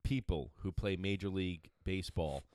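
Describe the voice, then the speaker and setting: A man co-hosting a casual podcast, excitable and argumentative, swearing and rambling through his points. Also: sits low, moderate pace, no room sound, clean studio sound. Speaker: podcast co-host. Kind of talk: casual banter.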